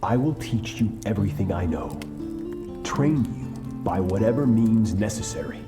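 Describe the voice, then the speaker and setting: An actor playing a cold, ruthless male warlord who trains his apprentice, speaking in a slow, grave and contemptuous way. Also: lowish voice, animated, roomy sound, over music, natural voice. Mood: menacing. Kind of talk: game cutscene voice acting.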